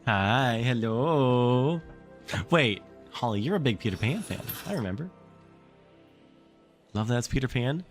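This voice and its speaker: A man reading a children's story aloud on a livestream in an expressive, theatrical way, with casual asides to the chat. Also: medium pitch, measured pace, pauses often, faint music behind.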